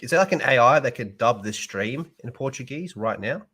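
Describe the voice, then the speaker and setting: A man's voice: medium pitch, lively intonation, moderate pace, bright, forceful tone, husky. A man co-hosting a podcast in casual chat, jokey and blunt with his opinions. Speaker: podcast co-host. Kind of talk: casual chat reacting to anime dubs.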